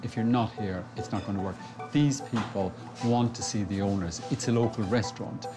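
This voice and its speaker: A man's voice: on the low side, brisk. A man presenting a business rescue show, giving firm advice. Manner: firm, advisory. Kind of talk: reality TV presenting. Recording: music bed underneath.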